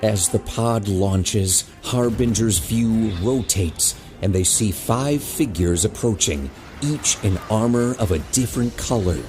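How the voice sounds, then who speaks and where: Slow, deep, dramatic male narrator. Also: darkish tone, soft background music. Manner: measured, dramatic. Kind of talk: scripted audio drama narration.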